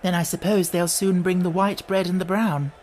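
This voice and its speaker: A man voicing a curious, polite, excitable young girl in a storybook, bright and eager. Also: high voice, moderate pace, a little hiss or hum, voice pushed up, close to an everyday voice. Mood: tentative.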